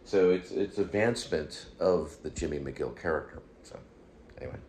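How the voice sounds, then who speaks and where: A man with a very low-pitched voice hosts in a loose, rambling monologue. He speaks haltingly but with emphasis, often repeating himself. A steady layer of noise sits behind his voice. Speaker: show host.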